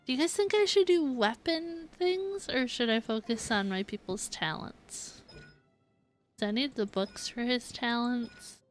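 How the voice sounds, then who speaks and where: A woman's voice: fairly high pitch, measured pace, soft background music. A woman game streamer chatting casually, musing aloud and indecisively to her viewers. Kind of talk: casual livestream chat.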